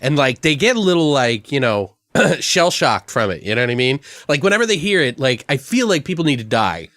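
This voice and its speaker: A man co-hosting a comedy podcast, brainstorming casually in a rambling, profane way as he thinks out loud. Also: medium pitch, very animated, brisk, dry recording. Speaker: podcast co-host.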